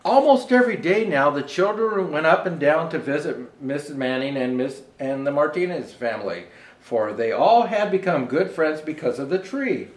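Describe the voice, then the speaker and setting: A man reading a children's storybook aloud as Santa, reading haltingly and pausing for asides to show the pictures. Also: a little high, expressive, roomy sound, low-quality recording. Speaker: storyteller presenting as Santa Claus.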